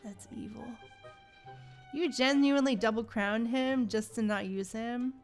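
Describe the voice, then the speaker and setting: A woman's voice: fairly high pitch, unhurried. Chatty woman streamer with music behind. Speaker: livestream host. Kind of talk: casual gaming livestream chat with viewers.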